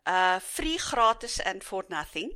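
A woman's voice: mid-range voice, big pitch swings, a little slow, sharp tone. A middle-aged South African woman, a native Afrikaans speaker, talking unhurriedly in an interview with frequent fillers. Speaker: guest. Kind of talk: casual interview.

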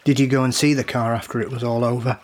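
A man's voice: medium pitch, flat delivery, fast, close to an everyday voice. A man playing a grieving diver in an audio drama, blunt and full of guilt. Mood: tense.

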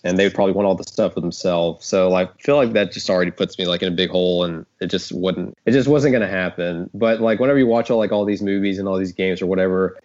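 A man's voice: low-pitched, a little mellow. A man hosting a casual group chat, talking in a self-deprecating way. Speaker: host and creator of an audio drama. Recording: dull lo-fi audio.

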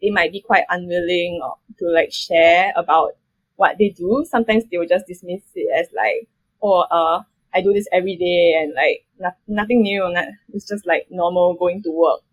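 A woman's voice: lowish voice, fairly even intonation, normal speed, poor audio. A young woman with a Singaporean accent, a guest chatting casually and filling her speech with "like.". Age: young adult. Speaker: guest, editorial director. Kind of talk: casual chat.

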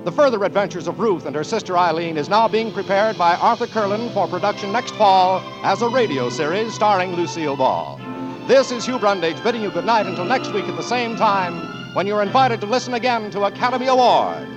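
High-pitched American radio announcer over music. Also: male voice, lively intonation, brisk, dull audio. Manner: formal, emphatic. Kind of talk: 1940s radio scripted announcing.

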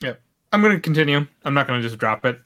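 A man in casual podcast chat, animated and sarcastic as he rants. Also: medium pitch, fast. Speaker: podcast co-host.

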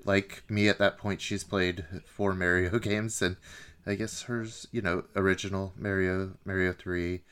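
Low-voiced man chatting about films. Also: flat delivery, unhurried, fairly bright tone, clear, resonant tone. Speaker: podcast co-host. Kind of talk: casual film discussion.

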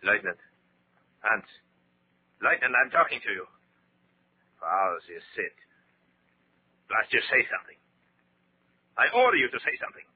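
An actor playing a bullying, secretly terrified German officer in a 1940s radio horror drama, barking commands insistently. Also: male voice, lowish voice, big pitch swings, normal speed, pauses often. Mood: agitated, ordering. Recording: scratchy, narrow-band 1940s radio recording.

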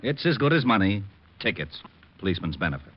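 An actor playing an exasperated, skeptical American police lieutenant in a 1950s radio detective drama. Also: male voice, lowish voice, sing-song, quick pace. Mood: skeptical, puzzled. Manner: blustery, skeptical, impatient. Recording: muffled old radio recording.

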